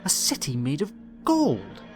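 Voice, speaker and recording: A man voicing a bright, curious young granddaughter in an audiobook, eager and given to excited exclaiming. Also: a little high, a little slow. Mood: amazed. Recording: music behind the voice.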